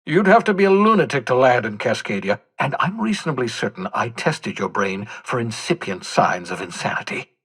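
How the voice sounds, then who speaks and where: An actor playing an eccentric, vain older mad scientist in a video game, with a husky voice and a theatrical, erudite, rambling manner. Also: male voice, mid-range voice, sing-song, a little fast, a bit bright. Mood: brisk, wry. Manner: theatrical, erudite, rambling, swinging from mutters to exclamations. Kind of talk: video game character dialogue.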